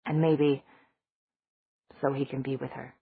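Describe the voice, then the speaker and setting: A woman reading an audiobook, voicing a level-headed young woman who talks quietly, ruefully and soberly. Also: extremely low pitch, little pitch movement, unhurried, breathy edge, no room sound, heavily acted. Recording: dry, tinny phone-like sound.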